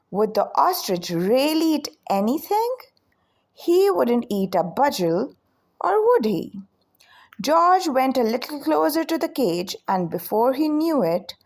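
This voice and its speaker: A woman storyteller reading a children's picture book aloud in an expressive, deliberate way. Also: on the high side.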